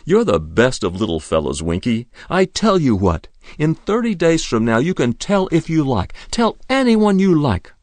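A man's voice: fairly high pitch, sing-song, moderate pace, darkish tone, no echo, voice pushed up. A man reading aloud who voices a good-natured young army officer, hearty and coaxing.

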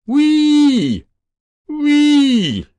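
Shrill, whooping wild boy. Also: wildly swooping pitch, extremely slow, dark tone, dry recording, exaggerated voice. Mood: wild, exhilarated. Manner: whooping, high screaming. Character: frantic, defiant young boy shrieking in play.

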